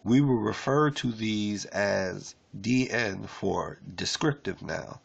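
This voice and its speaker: A man casually streaming as he works through a language lesson, rambling with fillers and reading aloud haltingly with restarts. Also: lowish voice, vocal fry, slightly rough, dull audio. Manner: rambling, loose and unpolished, with many fillers. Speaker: self-taught learner reading a lesson. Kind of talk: casual screen-share stream.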